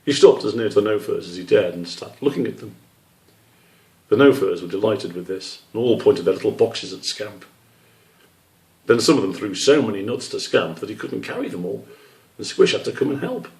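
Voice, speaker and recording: Male author narrating children's storybook, creaky low register, roomy sound. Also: animated, moderate pace, a little mellow. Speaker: author reading his children's book. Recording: roomy echo, distant microphone.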